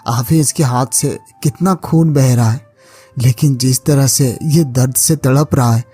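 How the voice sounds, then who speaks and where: A man's voice: medium pitch, measured pace, mellow, slightly rough, soft background music. A man voicing a kind-hearted, caring village farmer in a cartoon story, earnest and exclamatory. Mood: respectful, pleading. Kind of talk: Hindi cartoon moral story acting.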